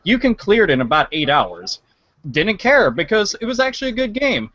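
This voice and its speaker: A man's voice: high voice, sing-song, moderate pace, bright, forceful tone, dry recording. A man talking casually and bluntly about games as a podcast panelist, swearing freely. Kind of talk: casual video game podcast discussion. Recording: dry, dull lo-fi mic.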